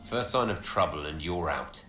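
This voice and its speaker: Low, forceful fantasy commander. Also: male voice, expressive, normal speed. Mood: suspicious, blunt. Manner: blunt, questioning, serious. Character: stern, weary commanding hero, blunt leader. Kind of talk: dramatic video game dialogue. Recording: roomy echo, music underneath.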